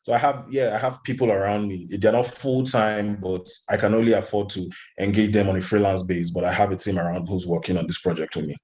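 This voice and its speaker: Low-voiced man, halting interviewee. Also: level tone, a little mellow. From Nigeria. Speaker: animation studio founder being interviewed. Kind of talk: conversational interview answers.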